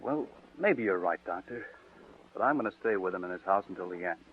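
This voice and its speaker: An actor playing a scheming young nephew who talks earnestly and stammers in a 1940s radio drama. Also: male voice, deep voice, animated, brisk, slight background noise. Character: scheming young male nephew feigning devotion. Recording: tinny old radio broadcast.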